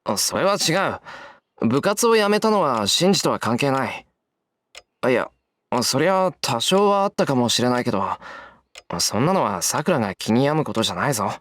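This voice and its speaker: A man's voice: mid-range voice, big pitch swings, very fast, fairly bright tone. A man voicing a kind, stubborn teenage schoolboy in a visual novel, casual and frank in manner. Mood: reassuring. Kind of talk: Japanese visual novel voice acting. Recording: music behind the voice.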